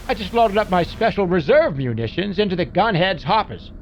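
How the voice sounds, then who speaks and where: Excitable high-pitched wisecracking mech mechanic. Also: male voice, brisk, a little hiss or hum. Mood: folksy, proud. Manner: folksy, slangy, wisecracking. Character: gruff-talking, wisecracking mech mechanic, gun-loving and excitable. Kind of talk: video game character voice lines.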